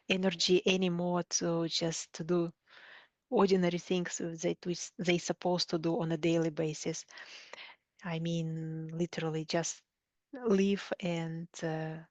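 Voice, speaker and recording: A woman's voice: fairly low pitch, a little mellow, dry recording. A woman from Siberia with a Russian accent, answering interview questions conversationally and often searching for words. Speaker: coach, interview guest.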